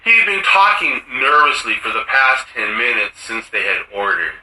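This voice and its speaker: A man's voice: medium pitch, lively intonation, normal speed, sharp tone, slight background noise. A man, a writer, reading literary short fiction aloud, recorded in a roomy space at a distance from the mic. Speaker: writer reading his own story.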